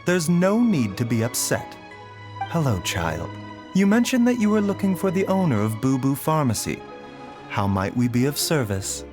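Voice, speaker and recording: A man voicing a gentle, courteous doctor who speaks in a soft, formal way in a video game. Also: a little high, very animated, moderate pace, over music.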